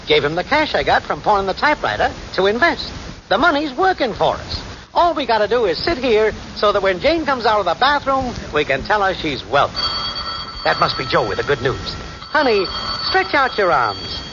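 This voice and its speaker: High-voiced, swooping small-time schemer, slangy and cocksure, vintage radio comedy. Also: male voice, very animated, moderate pace. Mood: cocky. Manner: slangy, cocksure, theatrical sweet-talk. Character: brash scheming small-time city hustler boyfriend. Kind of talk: 1940s radio comedy acting. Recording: noisy, muffled 1940s radio recording.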